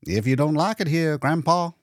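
A man's voice: fairly high pitch, moderate pace, no echo, clean studio sound, voice pushed up, lightly acted. A man reading a story, voicing a cheeky male hospital orderly with a breezy, teasing manner.

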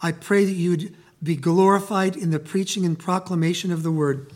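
A man whose first language is Italian, preaching a sermon as pastor: he reads scripture emphatically, prays softly, and speaks in a church building. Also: high-pitched, fairly even intonation. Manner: slow, emphatic reading building to loud proclamation; quiet, soft prayer voice. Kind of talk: sermon with scripture reading and prayer.

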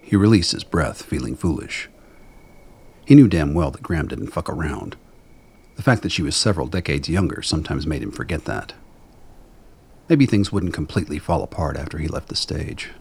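A man with a gravelly voice reading scripted dramatic narration in a measured, brooding storytelling manner. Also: extremely low pitch, animated.

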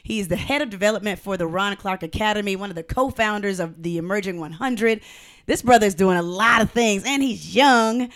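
A woman's voice: medium pitch, brisk, a bit bright, no echo. A woman hosting a podcast, talking in an upbeat, chatty way and often affirming her guest. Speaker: podcast host. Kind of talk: casual podcast chat.

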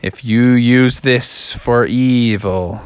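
A man's voice: fairly high pitch, expressive, measured pace, a bit bright, no room sound, clean studio sound. A man voicing a kindly, solemn gummy bear elder in a children's story, speaking in earnest pronouncements.

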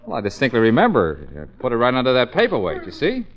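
An actor playing a breezy, wily private detective in a casual, hemming 1940s radio comedy-mystery style. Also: male voice, medium pitch, wildly swooping pitch, quick pace. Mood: confident, then dismayed. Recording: muffled old radio broadcast.

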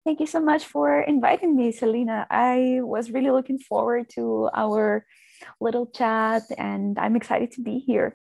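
A woman from Russia, a guest in a podcast interview who talks in a relaxed way, with fillers and light laughs. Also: a little high, normal speed, gravelly, dry recording. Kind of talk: casual interview chat.

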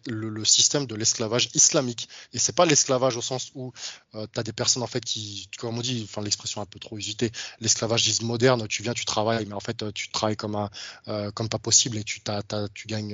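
A man's voice: medium pitch, a bit bright, slightly rough, no echo, dull audio. A man speaking as an interview guest in casual, argumentative conversation, often stumbling and restarting his phrases. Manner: argumentative, frequent stammered restarts; informal, addresses interviewer familiarly.

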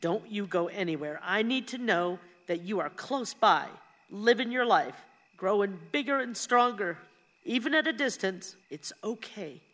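A woman's voice: medium pitch, expressive, a little slow, a bit bright. An actress playing a tender, wry, plain-spoken elderly grandmother who carries grief, in a radio play. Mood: tender, sad. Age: older. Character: tender elderly grandmother, wry, grieving, snappish with her husband. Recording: long hall-like reverb.

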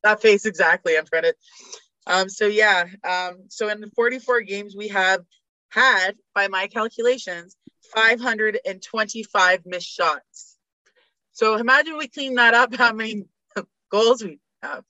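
A woman's voice: medium pitch, measured pace, sharp tone, no echo, lo-fi sound. A woman guest on a sports podcast, chatting casually with blunt opinions and frequent laughter. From Canada.

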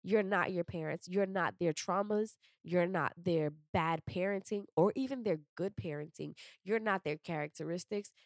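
Candid young woman podcaster, low voice. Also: moderate pace, few pauses, dry recording, clean studio sound. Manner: candid, emphatic, repeats phrases for stress. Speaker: podcast host. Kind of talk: casual podcast chat.

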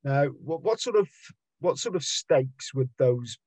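A man interviewing casually, often halting and rephrasing his questions. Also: medium pitch, animated, darkish tone, dry recording.

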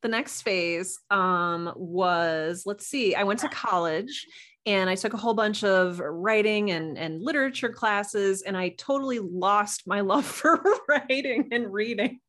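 Female podcast guest, mid-range voice, casual and playful storyteller with animated asides. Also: medium pitch, measured pace. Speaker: guest author and podcast producer.

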